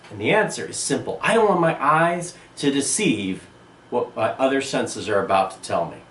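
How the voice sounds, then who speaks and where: Give me an enthusiastic man teaching art, with a lively voice and a distant mic. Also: mid-range voice, normal speed, reverberant, a little hiss or hum. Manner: animated, enthusiastic explaining. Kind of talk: video lesson. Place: indoor room with a table and a sandstone wall.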